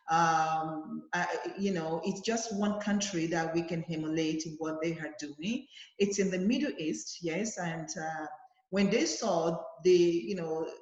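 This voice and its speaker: A woman advocate answering interview questions earnestly, with many fillers and restarts, over a video call. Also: lowish voice. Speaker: guest, advocacy leader. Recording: roomy, dull lo-fi sound.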